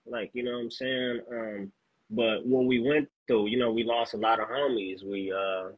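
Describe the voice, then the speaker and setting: A man from Los Angeles, a rapper being interviewed, talking in a laid-back, halting way with lots of fillers. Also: mid-range voice, fairly even intonation, slightly rough, no room sound, narrow, tinny audio. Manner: laid-back, halting, fills with "you know what I'm saying". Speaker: guest rapper. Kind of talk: casual interview chat.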